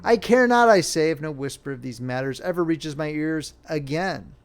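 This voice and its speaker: A man's voice: on the high side, lively intonation, moderate pace, smooth. Storybook Old Year read by a man: tired older sister, heavy and grave, plucked guitar underneath. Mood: weary, grave. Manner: heavy, grave, world-weary. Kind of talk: storybook character reading.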